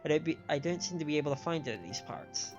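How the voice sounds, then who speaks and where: An androgynous-sounding streamer at a middle pitch reads game dialogue aloud and comments on it. The delivery trips over written lines now and then. The sound is slightly dull, with game music underneath. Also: big pitch swings, quick pace, a little mellow. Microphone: microphone on a stand.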